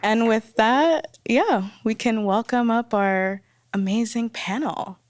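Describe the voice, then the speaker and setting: A woman's voice: on the high side, slow. A woman opening a live event with casual, filler-heavy welcoming remarks and thanks. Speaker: event organizer.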